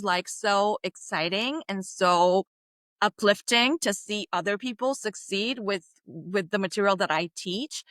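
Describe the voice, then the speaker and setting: A woman's voice: medium pitch, no echo. Woman, dry close sound. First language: Bulgarian. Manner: animated, laughs often, many restarts. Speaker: guest author. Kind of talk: casual interview answers.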